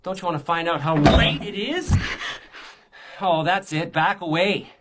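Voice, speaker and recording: A man's voice: on the high side, unhurried, very noisy, close to an everyday voice. An actor as taunting, menacing male stalker. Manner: taunting, insinuating, mock-polite. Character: menacing, self-pitying male stalker, a spurned oddball. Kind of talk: radio crime drama acting.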